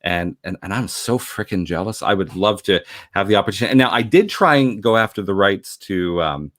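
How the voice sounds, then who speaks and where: Deep-voiced man, effusive talker. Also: expressive, fairly quick, fairly bright tone, no echo, studio quality. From Canada, grew up in Montreal. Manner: effusive, rambling, with repeated words. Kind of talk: casual conversational chat.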